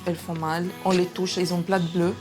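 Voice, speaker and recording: Italian-accented woman, 30s, hesitant interviewee, slightly low voice, backing music. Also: flat delivery, a little fast. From Sardinia, Italy. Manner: hesitant, many restarts and fillers. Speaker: cosmetic surgery patient. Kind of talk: documentary interview. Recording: music playing underneath.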